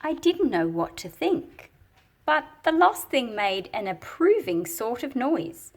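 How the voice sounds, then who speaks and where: A woman reading a storybook aloud and explaining it as she goes, in an expressive, deliberate manner with a slightly husky, breathy quality. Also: fairly high pitch. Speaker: picture book read-aloud host. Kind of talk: storybook read-aloud with teaching commentary.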